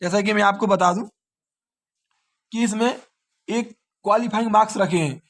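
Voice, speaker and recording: A man's voice: high voice, moderate pace, fairly bright tone. A man, an exam coaching teacher explaining details informally and repeating key points as questions and answers.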